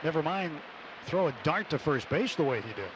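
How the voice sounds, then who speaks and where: A man's voice: a little high, very noisy. A man doing live radio play-by-play of baseball as an announcer, in the manner of a broadcast from the ballpark. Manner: measured between plays, excited on big plays. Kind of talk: live radio sports commentary. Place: stadium broadcast booth.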